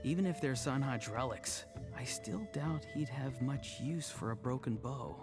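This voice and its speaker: A man's voice: mid-range voice, measured pace, natural voice. A man voicing an easygoing young grandson in a video game, casual, teasing and self-deprecating. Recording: music behind the voice. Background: game music.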